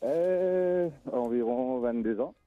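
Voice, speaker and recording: A middle-aged man with a Mauritian accent, calling a radio show and talking casually and haltingly over the telephone. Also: medium pitch, expressive. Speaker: phone-in caller, stone mason. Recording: tinny phone line.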